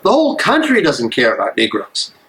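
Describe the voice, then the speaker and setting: Man playing an earnest, higher-voiced weary minister. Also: wildly swooping pitch, a little fast, a bit bright, low-quality recording. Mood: aggrieved, earnest. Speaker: actor in a dramatic play reading. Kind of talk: live dramatic stage reading.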